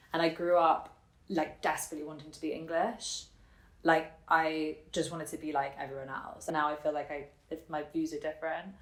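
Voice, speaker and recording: A woman in her twenties telling her personal story casually and reflectively, thinking aloud in halting, informal phrases. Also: sits low. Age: 20s. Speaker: interviewee telling her family and life story. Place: indoor room in Germany. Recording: roomy echo on the voice.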